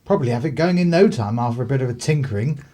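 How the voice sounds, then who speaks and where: A man's voice: medium pitch, lively intonation, very fast, mellow, close to an everyday voice. An actor playing a courteous, old-fashioned 1930s English clergyman, a hospitable country host in a radio play. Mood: genial.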